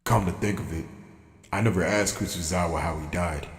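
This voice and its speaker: A man voicing a gruff, cynical fighter in an anime fan dub, exasperated and matter-of-fact. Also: sits low, brisk, no room sound, studio quality, heavily acted.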